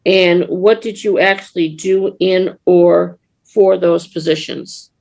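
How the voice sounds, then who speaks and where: A woman's voice: fairly low pitch, steady tone, takes it slowly, vocal fry, a little mellow. A woman on a board reading interview questions to a candidate over a video call in a friendly way. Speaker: council board member asking questions. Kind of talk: interviewing at a public meeting.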